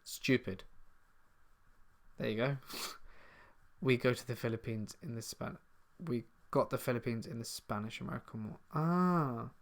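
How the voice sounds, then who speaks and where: A man's voice: medium pitch, unhurried. A man from the south of England with a southern English accent, hosting a live stream Q&A and casually reading out viewer comments before answering them. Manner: casual, chatty, reads comments aloud then answers. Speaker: horror story channel host.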